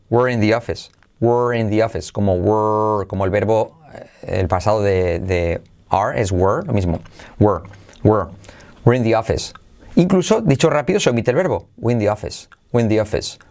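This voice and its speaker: Playful teacher drilling phrases. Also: male voice, lowish voice, darkish tone. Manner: drills phrases slowly and repeatedly, playful asides. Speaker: English teacher. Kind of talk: lively pronunciation lesson.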